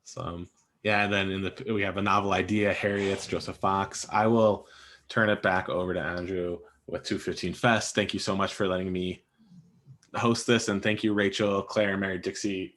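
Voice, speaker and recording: A man's voice: low-pitched, a bit bright. An American man speaking casually as the moderator of an author panel. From Scranton, Pennsylvania, United States. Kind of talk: casual event hosting. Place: remote online event.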